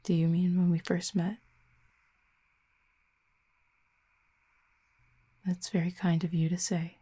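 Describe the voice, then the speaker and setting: A woman playing a wry, tender, guarded Cupid in an intimate audio roleplay, with soft, breathy, husky delivery and gentle, slightly formal phrasing. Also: lowish voice, little pitch movement, a little fast. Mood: hesitant, softened.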